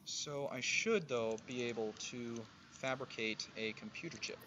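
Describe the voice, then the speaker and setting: A streamer giving loud, animated commentary while playing a game at home. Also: medium pitch, a little slow, slight background noise. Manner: animated, sudden excited outbursts. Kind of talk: casual gameplay commentary. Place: indoors at home.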